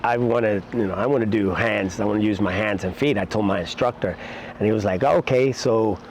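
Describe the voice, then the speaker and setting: A middle-aged man, talking casually and haltingly in an interview as a martial arts instructor, with many pauses. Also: lowish voice, darkish tone, slight background noise. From Puerto Rico-born, raised in New York City.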